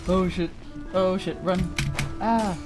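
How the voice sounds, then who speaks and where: A woman's voice: on the low side, fairly even intonation, slow pace, music in the background. A woman livestreaming a video game, chatting casually with viewers in a playful, exclamatory way and muttering to herself as she plays. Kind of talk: casual gaming chat with viewers, reacting to play.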